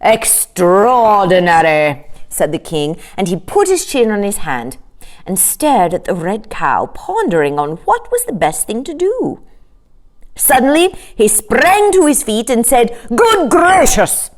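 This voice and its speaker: A woman reading a children's story as narrator, animated and carefully phrased. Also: a little high, unhurried.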